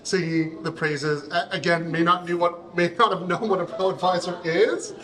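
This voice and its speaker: A middle-aged man from Canada with a big voice, a guest chatting casually at an awards reception, halting and repeating words as he thinks. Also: high voice, fairly even intonation, fairly bright tone. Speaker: interview guest, accountant. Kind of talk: casual event interview. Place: busy reception event.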